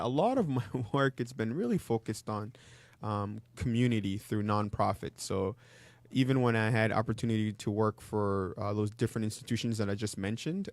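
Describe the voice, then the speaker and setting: A man in his thirties from the Democratic Republic of Congo, a guest speaking in a measured way with frequent ums. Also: lowish voice, a little mellow, no room sound. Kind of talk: interview conversation.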